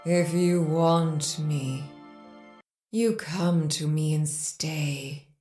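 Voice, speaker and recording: An otherworldly seductress in a horror audio drama, possessive and demanding. Her voice is low and very slow, drenched in echo. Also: warm tone. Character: Lyra, possessive seductive enchanting otherworldly woman in a cabinet. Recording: echoey voice over faint music.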